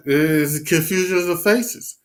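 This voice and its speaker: A man reading scripture aloud and preaching in a casual talk, stumbling, repeating words and filling gaps with "uh". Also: a little high. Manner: halting, many repeats and fillers.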